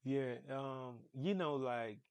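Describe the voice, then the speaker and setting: A man's voice: medium pitch, takes it slowly, clear, resonant tone. Young nigerian guy, nigerian accent, musician talking loose in an interview, lots of "you know". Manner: rambling, many fillers like "you know". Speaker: musician guest. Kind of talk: casual interview chat.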